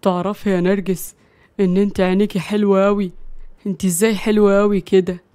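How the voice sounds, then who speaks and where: A woman's voice: medium pitch, level tone, fairly quick, dark tone, clean tone, pitched below the performer's own voice, natural voice. Woman playing a tipsy, lovestruck old Egyptian husband. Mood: dreamy. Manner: sweet-talking, dreamy.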